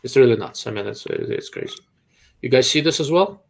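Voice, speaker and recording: A man with a Russian accent hosts a live question-and-answer stream, giving blunt, dry answers. His mid-pitched voice has a gravelly edge. He talks plainly and is quick to call ideas out. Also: lively intonation. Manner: blunt, dryly sarcastic. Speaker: host.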